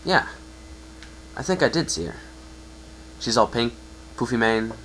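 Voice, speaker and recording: A man's voice: medium pitch, expressive, unhurried, fairly bright tone, pitched above the performer's own voice, rough recording. A man voicing a confident, easygoing young male pony with a casual manner in a fan dramatic reading. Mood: recalling. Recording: home recording with faint hum.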